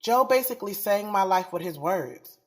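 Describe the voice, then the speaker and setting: A woman's voice: mid-range voice, animated, normal speed, natural voice. A woman playing a guarded, frank young professional who confides her story in slangy first-person audio drama narration. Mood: moved, stunned.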